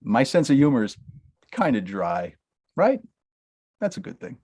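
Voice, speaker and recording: A man reading the part of a deadpan young talent manager with a dry sense of humor. His voice is a little husky, at a middle pitch. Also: measured pace. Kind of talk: table read of a comedy script.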